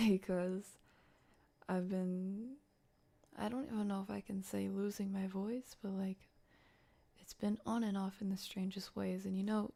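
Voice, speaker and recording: A soft-voiced woman chatting playfully and casually to viewers on an ASMR livestream, often dropping into a whisper. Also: medium pitch, level tone, a little slow, creaky. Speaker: ASMR live streamer.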